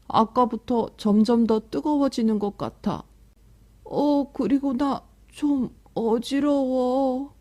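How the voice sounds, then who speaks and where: Casting: a woman playing an achy, complaining storybook body part, very slow and mellow, labored delivery. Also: a little high, pitched above the performer's own voice. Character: sick child's weary, achy talking body parts. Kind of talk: children's picture book read-aloud.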